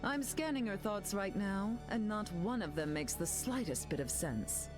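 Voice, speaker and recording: A woman's voice: mid-range voice, animated, moderate pace, lightly acted. A woman playing a glamorous telepathic queen in a video game, poised, theatrical and condescending. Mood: cool, condescending. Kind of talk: video game hero voice lines. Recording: game dialogue over loud music.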